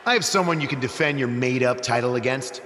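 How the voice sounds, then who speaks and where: A man's voice: mid-range voice, fast, fairly bright tone, close to an everyday voice. Echoey, smug wrestling challenger male actor. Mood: mocking. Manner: sarcastic, showy. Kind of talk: video game cutscene acting. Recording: echoey hall, long reverb, faint noise.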